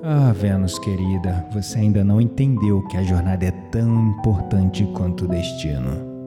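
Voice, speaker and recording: A man playing a gentle, spiritual incense-shop keeper, courteous and teasing, in an audio drama. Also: deep voice, normal speed, music in the background, lightly acted.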